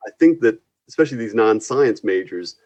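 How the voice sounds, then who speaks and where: A man's voice: lowish voice, lively intonation, brisk, a little mellow, dull audio. A man speaking as an instructor in a conversational interview, earnest and given to acting out little quotes as he explains. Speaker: university physics and astronomy instructor, guest.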